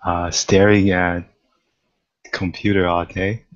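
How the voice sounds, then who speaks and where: A woman's voice: extremely low pitch, level tone, takes it slowly. A woman in an English class, tired and giving short replies over a video call. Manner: tired, brief answers. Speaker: student. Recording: muffled distant video-call audio.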